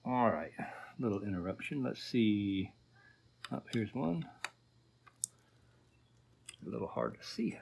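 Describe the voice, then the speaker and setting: A man's voice: fairly low pitch, sing-song, takes it slowly, creaky, pauses often, a little mellow. Man thinking aloud over noticeable background noise. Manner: informal, thinking aloud while working. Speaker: host of an electronics repair video. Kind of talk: casual workbench vlog narration.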